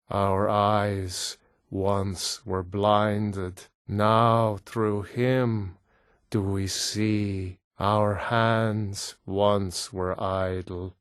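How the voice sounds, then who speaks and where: A hypnotized game cultist. He chants in a hollow, reverent drone, extremely slowly and quietly. Each phrase is drawn out, with no room sound around it. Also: on the low side, clear, resonant tone, dry recording, clean studio sound. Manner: droning, hushed, drawn-out chanting. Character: entranced male cult thrall, hollow and reverent.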